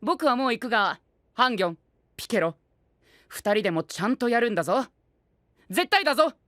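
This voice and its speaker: An actor playing a prim, rule-bound young gentleman knight who speaks pompously and tosses in English exclamations, in an anime audio drama. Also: very high-pitched, animated, a little fast, dry recording, full character voice. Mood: fussy. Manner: pompous, drops English exclamations into formal speech. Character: prim penguin gentleman knight, youthful, fussy about rules.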